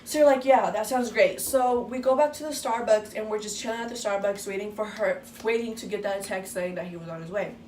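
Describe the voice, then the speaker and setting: A woman's voice: mid-range voice, a little fast, hardly any pauses, darkish tone. Teen girl telling a story in an echoey room. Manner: casual, swears freely, acts out quoted dialogue. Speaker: vlogger. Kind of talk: casual storytime chat. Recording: echoey room, distant mic, faint noise.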